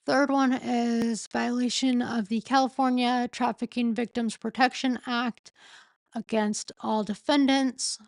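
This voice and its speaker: A woman with a sore throat and a failing voice, reading a legal filing aloud in a slow, halting way and often stopping to correct herself. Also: on the high side, little pitch movement, gravelly, no room sound. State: losing her voice, sore throat. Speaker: streamer reading a court filing. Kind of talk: casual late-night live stream.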